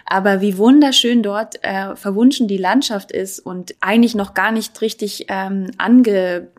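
A woman's voice: medium pitch, unhurried. Earnest woman guest with fillers. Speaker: hotel co-founder, interview guest. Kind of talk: interview talk.

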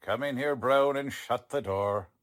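A man playing a gruff, bossy, exasperated police lieutenant with brusque, colloquial speech, in 1940s radio drama style. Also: mid-range voice, a little slow, lightly acted.

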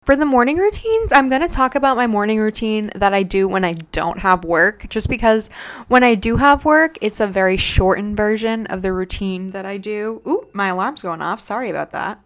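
A woman's voice: medium pitch, lively intonation, normal speed. A young woman hosting her own podcast, chatting casually and rambling with lots of fillers, sounding congested from a cold. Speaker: podcast host. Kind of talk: casual unscripted solo podcast chat.

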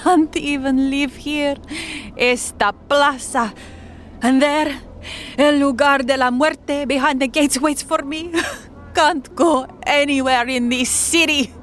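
An actress playing a frightened, half-mad woman with a Spanish accent, halting and haunted, slipping Spanish words into her English. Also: high voice, steady tone, fairly bright tone, slight background noise. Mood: anxious despair. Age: middle-aged. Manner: halting, haunted, mixing Spanish into English, often dropping to a whisper. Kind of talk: audio drama acting.

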